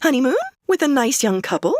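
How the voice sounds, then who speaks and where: Prim radio pitchwoman, high voice, very animated, genteel, mock-indignant, salesy, dry close sound. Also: a little fast, no room sound. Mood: curious. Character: prim, chatty female tea pitchwoman on vintage radio. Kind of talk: old-time radio acting.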